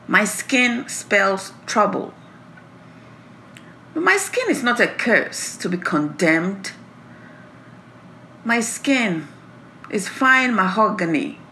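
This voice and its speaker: A woman poet presenting and reciting her own work in a deliberate, emphatic delivery. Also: mid-range voice, sharp tone, slight background noise. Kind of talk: introducing and reciting own poem.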